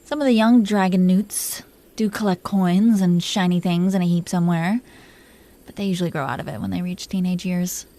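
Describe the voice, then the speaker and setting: A woman playing an affectionate, possessive dragon queen in an intimate romantic roleplay audio, speaking softly and haltingly with a playful, teasing manner. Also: on the low side, natural voice.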